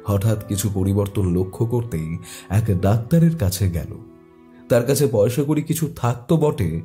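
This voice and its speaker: Very dark-voiced male horror storyteller. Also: medium pitch. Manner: measured, dramatic pauses. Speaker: story narrator. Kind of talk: dramatic scripted horror story narration.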